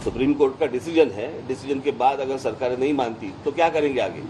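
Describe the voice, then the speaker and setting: Mellow-voiced man, firm political remarks. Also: medium pitch, fairly quick, faint music behind, poor audio. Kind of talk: remarks to the press.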